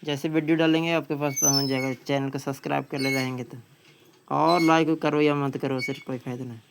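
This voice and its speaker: A man's voice: medium pitch, level tone, fairly quick, creaky, noisy background. A man reading out practice questions and answers as a teacher, plain-spoken and repeating phrases. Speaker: teacher, exam coaching.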